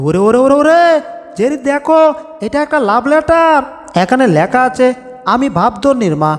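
Excitable, very high-pitched cartoon tomcat. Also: male voice. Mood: curious. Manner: exclaiming, talking to himself, theatrical. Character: excitable, boastful, lovestruck male cartoon cat. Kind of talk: Bengali cartoon dub comedy. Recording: long reverb with music.

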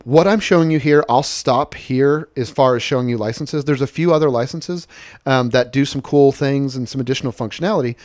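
A man's voice: mid-range voice, a little mellow, dry recording, clean studio sound. A man presenting a product in a casual, conversational explainer style, recapping points as he walks through slides.

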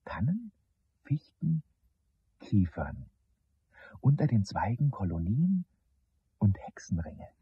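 Radio drama: wistful reminiscing lover, very husky, animated intonation, dry booth. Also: male voice, lowish voice, slow pace, long pauses, dry recording, heavily acted. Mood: wistful, hushed. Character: Titus, wistful male lover lost in surreal memories. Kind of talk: 1970s German radio play acting. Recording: dull, lo-fi old radio recording.

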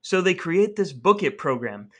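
A man's voice: sits high, very animated, moderate pace, fairly bright tone. A middle-aged American man hosting a podcast, speaking in a scripted, narrating style. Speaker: podcast host.

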